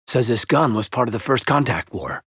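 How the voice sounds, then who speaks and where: A man playing an earnest, loyal soldier officer in a space-war video game, with a husky, raspy voice and a sincere, measured manner. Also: mid-range voice, lively intonation, a bit bright, dry recording, studio quality. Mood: reflective. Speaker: video game squadmate character. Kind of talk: dramatic video game voice acting.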